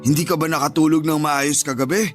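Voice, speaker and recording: A man's voice: a little high, steady tone, brisk, faint music behind, natural voice. A man playing a superstitious, bossy, uneasy uncle who scolds and nags in a Tagalog radio drama. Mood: nagging.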